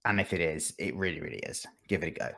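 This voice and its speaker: A man with a fairly low voice chats as a guest on a video game podcast. His delivery is casual and conversational, with a dry, tongue-in-cheek sense of humour. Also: steady tone, fast, gravelly, pushed and bright. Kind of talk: casual podcast chat about video games.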